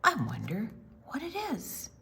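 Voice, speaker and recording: A woman hosting a children's storytime, reading a picture book aloud with theatrical, deliberate phrasing that draws in young listeners. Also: medium pitch, huge pitch swings, vocal fry, pushed and bright, breathy edge.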